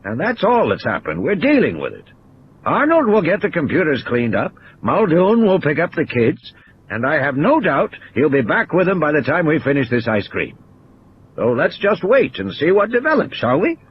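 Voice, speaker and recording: A man voicing a stubborn old park owner in an audio play, insistent and over-reassuring, breezily dismissive and quick to snap. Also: on the high side, wildly swooping pitch, dull audio, slight background noise, pitched above the performer's own voice.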